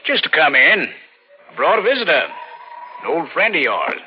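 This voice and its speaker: Muffled old radio drama, menacing American schemer, insinuating, mock-friendly, extremely animated intonation. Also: male voice, a little high, normal speed. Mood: falsely cheerful. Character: scheming, menacing crook after his uncle's money. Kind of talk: 1940s radio thriller acting.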